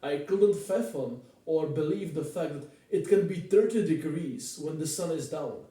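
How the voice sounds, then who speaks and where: A man's voice: on the high side, normal speed, warm tone. A man from the Czech Republic with a Czech accent, telling stories casually in a rambling, repetitive, informal way. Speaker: vlogger. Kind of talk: rambling casual storytelling to camera. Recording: very echoey room, distant microphone.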